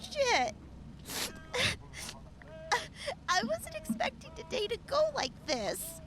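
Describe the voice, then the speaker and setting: A shrill, brash young raider. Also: female voice, wildly swooping pitch, a little slow, a bit bright, full character voice, low-quality recording. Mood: wry, touched. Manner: cocky, hot-tempered, sweary. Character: brash, foul-mouthed young female wasteland raider. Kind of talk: post-apocalyptic audio drama acting.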